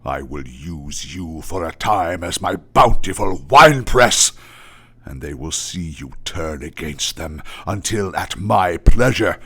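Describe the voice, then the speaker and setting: Menacing vampire lord, really low and slow, imperious, radio play villain. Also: male voice, expressive, fairly bright tone, slightly rough, full character voice. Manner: imperious, drawn-out, theatrical threats.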